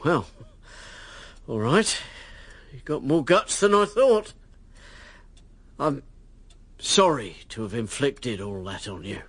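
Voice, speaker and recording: Brash, animated working-class politician. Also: male voice, medium pitch, slow, pauses often, husky, no echo, exaggerated voice. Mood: blunt. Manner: blunt, resentful, animated. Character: John Gabriel, brash working-class politician, bitter about class. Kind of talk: radio drama acting.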